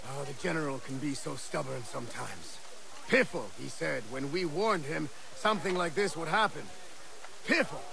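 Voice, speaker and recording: An actor playing a blustery, excitable officer of the American Revolution in a video game, with theatrical old-fashioned phrasing. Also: male voice, fairly high pitch, moderate pace, gravelly, a crowd in the background. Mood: exasperated.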